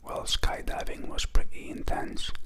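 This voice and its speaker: Whispering man, ASMR. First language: Greek. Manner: soft unhurried whisper with many fillers. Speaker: ASMR channel host.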